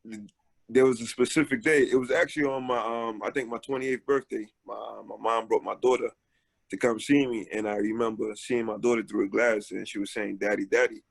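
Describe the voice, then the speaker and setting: A man's voice: lowish voice, fairly even intonation, fairly quick, gravelly, dry recording, low-quality recording. A man in his forties, from Haiti and raised in Brooklyn, talking casually as an author guest over a video call, with many filler ums. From Haiti, raised in Brooklyn, New York. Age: 40s. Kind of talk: casual interview talk.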